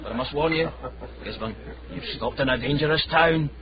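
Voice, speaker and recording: A man's voice: on the high side, fairly quick, natural voice. A man with a Scottish accent acting a jovial, grandiloquent tavern club leader in a radio drama, hearty and declamatory. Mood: genial, teasing. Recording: dull radio recording, busy tavern crowd.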